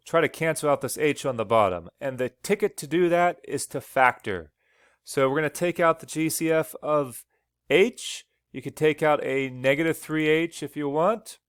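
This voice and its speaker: A male teacher's smooth, clean voice talks students through a slide lesson one step at a time, stopping often to ask "okay?". The recording is dry and close, and his voice sounds a little worn. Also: mid-range voice, fairly bright tone, no echo. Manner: explains step by step, frequent "okay?" checks. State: slightly losing his voice. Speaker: math teacher.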